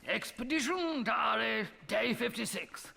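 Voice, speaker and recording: An actor playing a pompous, blustering upper-class expedition captain in a live radio comedy. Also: male voice, very high voice, expressive, unhurried, gravelly, exaggerated voice. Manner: blustering, plummy and self-important. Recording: live radio studio with reverb and faint hiss.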